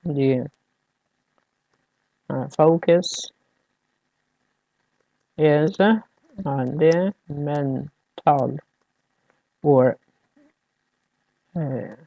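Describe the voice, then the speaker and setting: Slow, gravelly lecturer. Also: medium pitch, no room sound, dull audio. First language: Persian. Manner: hesitant, many fillers, keeps checking "all right?" with students. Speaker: university lecturer. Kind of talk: classroom lecture.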